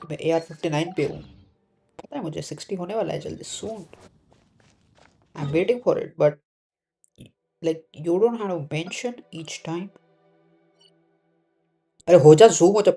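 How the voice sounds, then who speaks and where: Casting: Indian-accented male game streamer, rapid-fire casual chat, mellow tone, faint music underneath. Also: sits high, creaky, husky. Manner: informal, mixes Hindi and English.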